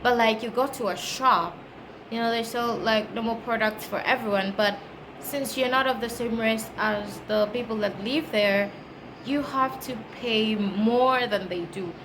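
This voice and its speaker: A woman's voice: fairly high pitch, measured pace. A woman from Kenya with a Kenyan accent, chatting casually to camera as a travel vlogger and explaining things in a conversational way. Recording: clearly noisy background ambience.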